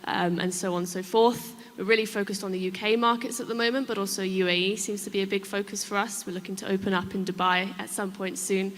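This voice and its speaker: A woman's voice: medium pitch, fairly even intonation, fast talker, hardly any pauses. A woman giving a brief self-introduction as a chief executive on a conference panel. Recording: echoey hall PA sound.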